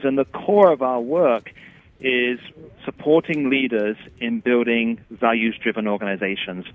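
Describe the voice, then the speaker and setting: A man with an English accent, a chief executive and interview guest, explaining his work with vivid examples. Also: mid-range voice. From England. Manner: explanatory, paints pictures with examples. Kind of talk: interview answers. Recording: tinny phone line.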